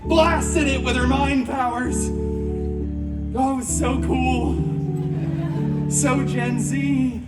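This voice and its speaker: Man playing a very high-voiced, cheeky antihero storyteller. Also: fairly even intonation, slow pace, pauses often. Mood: theatrical. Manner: flamboyant, exaggerated, sarcastic asides. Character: cheeky, theatrical, wisecracking male antihero storyteller. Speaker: storyteller in a live show. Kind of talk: comic children's story-time parody, stage narration. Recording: distant theme park show, music behind.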